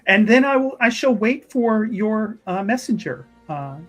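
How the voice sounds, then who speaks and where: Man playing a slow, devout chantry sister. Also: high-pitched, sing-song, soft background music, pitched above the performer's own voice. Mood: pious, gracious. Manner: formal, devout, deliberate. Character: pious, gracious chantry sister, an unhurried religious official.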